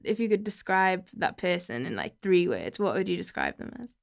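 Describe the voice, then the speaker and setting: A woman's voice: lowish voice, very animated, moderate pace, creaky. A woman hosting a podcast, chatty and teasing, with plenty of "like" fillers in her casual questions. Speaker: podcast host. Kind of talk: casual interview chat.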